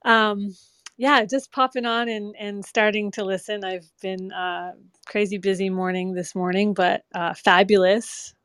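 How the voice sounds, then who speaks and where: A woman's voice: mid-range voice, steady tone, measured pace, fairly bright tone, clean tone, no room sound. A woman joining a talk by remote call, speaking in a chatty, upbeat way with many fillers. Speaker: guest caller. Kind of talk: casual chat.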